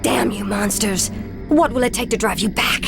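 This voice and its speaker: A woman voices a proud elf ranger general who taunts and defies invaders. Her voice sits at a middle pitch, with strong game music beneath. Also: moderate pace, creaky, natural voice. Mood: defiant. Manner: proud, scornful, commanding. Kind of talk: video game cutscene acting.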